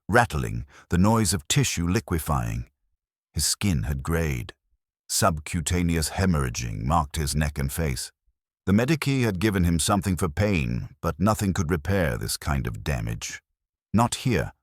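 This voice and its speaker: A man with a husky, gravelly voice narrating a dramatic scripted story in a solemn, measured way, in short clipped phrases. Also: sits low, dry recording, clean studio sound. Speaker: narrator of lore stories.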